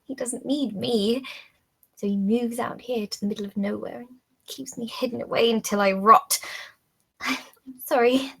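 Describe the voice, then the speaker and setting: A woman plays a lonely, sickly captive in a period drama. Her voice is fairly high but creaky and breathy, with a bitter, resigned and confiding tone. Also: steady tone, a little slow, pushed and bright, heavily acted, low-quality recording. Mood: bitter, wounded.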